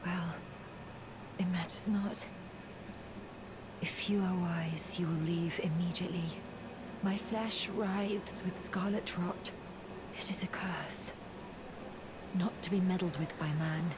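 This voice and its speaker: An actress playing an aloof, cursed recluse in a fantasy game, solemn and forbidding in a breathy whisper. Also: female voice, on the low side, fairly even intonation, slow, long pauses. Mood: wary, forbidding. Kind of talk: video game character acting. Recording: clear ambient noise behind.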